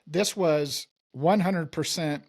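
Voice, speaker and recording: Middle-aged man, from California, interview host, rambling casual talk, fillers, somewhat high voice. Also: slow. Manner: rambling, conversational, many fillers. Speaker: podcast host.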